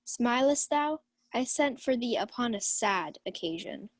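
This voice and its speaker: Lady of the house played by a woman, proud and amorous, slightly high voice, formal Shakespearean delivery. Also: lively intonation, a little slow, no room sound. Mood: puzzled, commanding. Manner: formal, declamatory. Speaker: student actor. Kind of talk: Shakespeare in 1940s radio play style.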